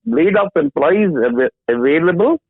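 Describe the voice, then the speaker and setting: A man in his sixties, a guest with a background in HR, explaining slowly and haltingly during a phone interview. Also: high-pitched, big pitch swings, no room sound. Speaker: HR industry guest. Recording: dry tinny phone line.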